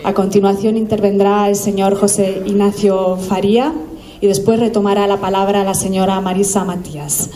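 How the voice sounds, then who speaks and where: A woman acting as press officer, formally introducing the speakers at a press conference in a measured way with small hesitations. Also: mid-range voice, level tone, mellow.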